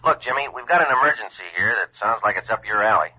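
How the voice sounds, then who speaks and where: An actor playing a brisk, urgent Coast Guard radio officer with a husky voice, in 1940s radio drama style. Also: male voice, lowish voice, animated. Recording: muffled vintage radio, telephone-like sound.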